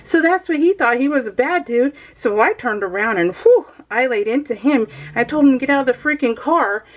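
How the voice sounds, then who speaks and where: A woman's voice: sits high, lively intonation, a little fast, dull audio. A middle-aged American woman chatting casually as a vlogger, telling personal stories in a rambling, emphatic way with exasperated asides.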